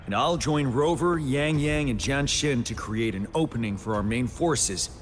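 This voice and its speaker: Male actor as young army general, video game: composed, commanding, measured, firm, mid-pitched. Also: slight background noise, close to an everyday voice. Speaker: military general.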